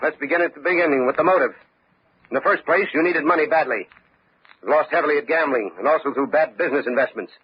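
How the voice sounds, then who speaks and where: An actor playing a shrewd, unflappable police lieutenant in a radio detective drama, speaking methodically and with confidence. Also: male voice, on the high side, fast talker, a little hiss or hum, lightly acted. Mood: coolly accusing. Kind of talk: old-time radio detective drama acting. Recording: dull vintage radio recording.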